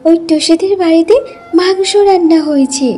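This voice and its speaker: A woman's voice: very high-pitched, moderate pace, warm tone, faint music behind. A woman playing a little village girl in a cartoon, childish and pleading with her father. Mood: wistful pleading. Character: little village girl, wistful and eager daughter. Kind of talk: Bengali cartoon voice acting.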